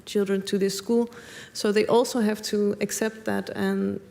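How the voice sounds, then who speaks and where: A woman's voice: medium pitch, level tone, measured pace, darkish tone. A young woman from the Netherlands, talking hesitantly and thoughtfully on stage with many fillers. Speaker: panel guest. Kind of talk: public conversation on stage.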